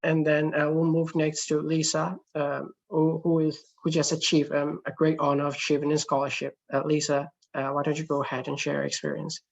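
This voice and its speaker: A woman's voice: deep voice, flat delivery, brisk, vocal fry, hardly any pauses. A woman hosting an online session informally, heard through dull, lo-fi call audio. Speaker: session host.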